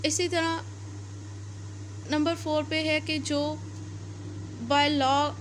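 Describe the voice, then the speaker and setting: High-pitched Pakistani woman lecturing. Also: little pitch movement, drawn-out words, fairly bright tone. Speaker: law lecturer. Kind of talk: explanatory lecture, reading clauses then explaining. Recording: faint background noise.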